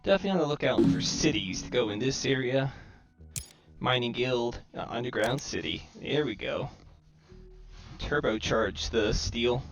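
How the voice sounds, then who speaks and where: Guy thinking out loud while gaming, robot-sounding glitchy mic, crackly vocal fry, bit of music behind. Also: mid-range voice. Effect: robotic-sounding microphone distortion. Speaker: gamer commentating own match. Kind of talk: casual game commentary, thinking aloud. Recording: robotic, glitchy home microphone.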